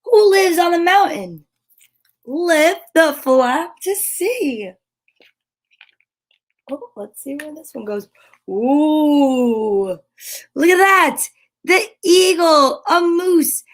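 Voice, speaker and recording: A woman hosting a children's story time, reading picture books aloud with expressive exclamations and chatty, self-interrupting asides to viewers. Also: high-pitched, extremely slow, long pauses. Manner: expressive, gushing exclamations and gasps.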